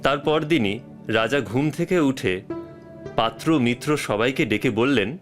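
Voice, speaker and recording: A man's voice: mid-range voice, measured pace, soft background music. A man narrating a scripted story as the storyteller.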